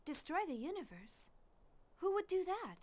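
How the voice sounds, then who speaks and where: An actress playing an earnest, anxious young woman in a game cutscene, with a breathy, questioning voice. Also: very high-pitched, big pitch swings, moderate pace, darkish tone. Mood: alarmed. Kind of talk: dramatic video game cutscene acting.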